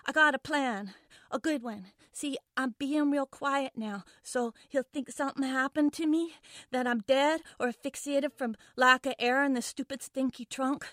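A woman's voice: sits high, normal speed, fairly bright tone, no echo, clean studio sound. A woman playing a scared but defiant young schoolgirl in a dramatic monologue, stammering and repeating herself with foul-mouthed bravado. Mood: hushed, resolute. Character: frightened, defiant young schoolgirl trapped in a car trunk. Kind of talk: dramatic first-person monologue.

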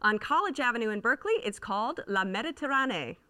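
A woman's voice: on the high side, animated, fast talker, noisy background. A woman hosting a restaurant review roundtable, steering the talk and reading the details. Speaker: host.

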